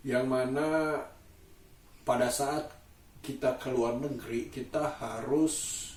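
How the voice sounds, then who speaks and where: A man from Indonesia, chatting casually to camera as a solo vlogger in a halting, deliberate way with drawn-out pauses. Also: mid-range voice, a little mellow. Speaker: daily vlogger and host. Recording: roomy echo, distant microphone.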